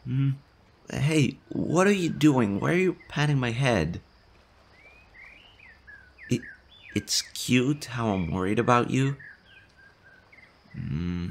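A man voicing a timid young male slime monster in an audio roleplay, nervous and stammering, quick to worry. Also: mid-range voice, lively intonation. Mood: worried. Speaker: voice actor.

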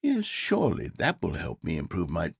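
An actor playing a vain, fussy game narrator obsessed with comedic timing, with a slightly breathy voice. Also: male voice, low voice, wildly swooping pitch, moderate pace, dry recording, exaggerated voice. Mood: self-pitying. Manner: theatrical, fussy, swings between pride and self-pity. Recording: dry close studio sound.

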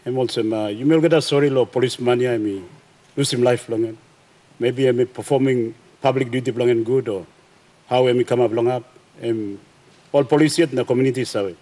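A middle-aged Papua New Guinean man, a governor asking a question in a parliament chamber, speaking haltingly with many fillers and restarts. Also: medium pitch, dark tone. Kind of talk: parliamentary question time, mixing Tok Pisin. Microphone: desk mic behind a plexiglass screen.

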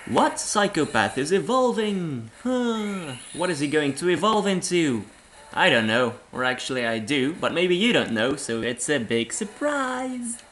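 Hoarse young man with a cold. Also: fairly high pitch, sing-song, measured pace, fairly bright tone, a little hiss or hum. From Belgium. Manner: rambling, self-deprecating, laughs often. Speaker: let's play host. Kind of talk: casual game commentary.

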